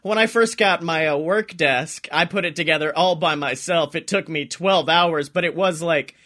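A man co-hosting a podcast, chatting casually and jokily in an animated, slangy way. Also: on the high side, moderate pace. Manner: animated, jokey, slangy, acts out exaggerated quotes. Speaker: podcast co-host. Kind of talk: casual comedic podcast chat.

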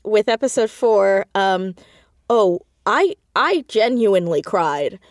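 A woman chatting casually as an interview guest, with an animated, emphatic, jokey and sometimes sweary way of talking. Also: medium pitch, slow pace, no echo, studio quality. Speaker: podcast guest, audio drama creator and writer.

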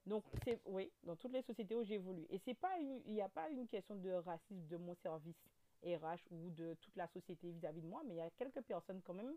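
A woman in her thirties with a Guadeloupean French accent, a guest telling her story in a casual interview, with lots of fillers, restarts and animated turns. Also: lowish voice, fairly quick. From French overseas territory. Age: 30s.

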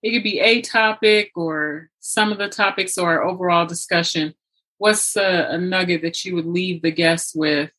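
Woman, interview host, slightly deep voice, crisp bright edge, conversational, earnest, encouraging. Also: fairly even intonation, normal speed.

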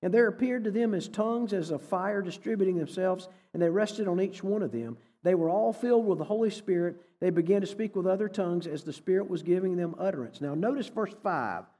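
A man's voice: sits high, fairly quick, few pauses, dark tone. A middle-aged man, telling a story as a guest speaker in a conversational sermon style. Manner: folksy, conversational storytelling with quoted dialogue. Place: church hall. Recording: echoey hall.

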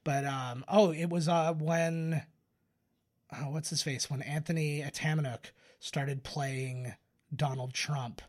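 A man's voice: a little high, steady tone, slow. A man co-hosting a podcast with casual film commentary, rambling and joking with plenty of swearing. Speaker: podcast co-host.

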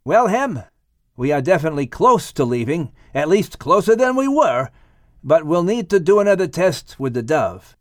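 A man's voice: a little high, sing-song, normal speed, no room sound, studio quality, pitched above the performer's own voice. A man voicing a joyful, earnest father figure and patriarch of a family. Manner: exclamatory, earnest.

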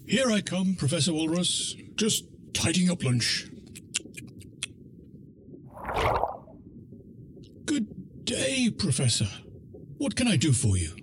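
A man's voice: high-pitched, big pitch swings, unhurried, background noise. Man voicing a fussy, swooping cartoon octopus. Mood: cheerful, welcoming. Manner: stammering, emphatic. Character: fussy, flustered older male octopus, house-proud. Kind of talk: children's radio play.

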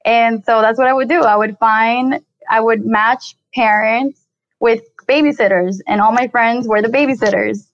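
A woman's voice: a little high, fairly even intonation, moderate pace, no room sound. A young woman from Colombia, talking as a podcast guest. Her talk is loose and chatty and dotted with "like" and "you know". The sound is dry and a bit muffled. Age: 20s. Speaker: podcast guest, real estate investor. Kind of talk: casual interview storytelling.

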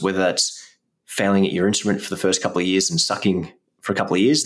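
A man hosting a podcast and giving motivational advice in casual interview talk. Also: deep voice. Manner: motivational, coaching tone. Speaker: podcast host and guitar teacher.

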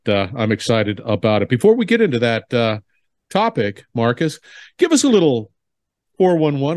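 Upbeat middle-aged male podcast host. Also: medium pitch. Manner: upbeat and emphatic, repeats words. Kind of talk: podcast intro and interview.

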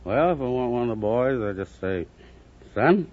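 A man's voice: medium pitch, lively intonation, moderate pace. A middle-aged man giving brief, unscripted answers as a contestant on a radio quiz show. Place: radio studio with live audience. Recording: muffled old radio recording, heavy noise. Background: loud studio audience, noise.

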